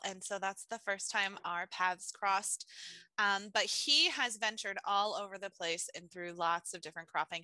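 A woman's voice: mid-range voice, pushed and bright, dry recording, rough recording. A woman in her thirties, on a headset in an office, introduces a seminar speaker over a video call in a friendly way with frequent ums.

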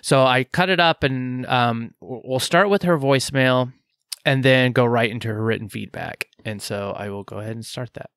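A man co-hosting a casual podcast, talking in halting stops and starts with lots of fillers. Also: medium pitch, a bit bright, smooth, no room sound, clean studio sound. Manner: halting, many fillers and restarts. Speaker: podcast co-host.

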